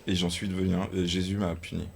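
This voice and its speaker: A man with a low, gravelly voice talks in loose, joking chat, swearing often and laughing at himself. A faint hiss of noise sits behind him. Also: little pitch movement, fast. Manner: loose, swearing, self-mocking. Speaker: guest cook. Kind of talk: casual chat.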